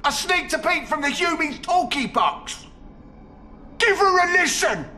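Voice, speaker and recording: Game creature: small servile goblin, shrill, wildly swooping pitch, faint noise behind. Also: male voice, very animated, a little slow, bright, forceful tone, exaggerated voice. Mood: eager, servile. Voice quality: shrill, piercing. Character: small, sneaky, eager goblin-like gretchin underling. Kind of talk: video game cutscene acting.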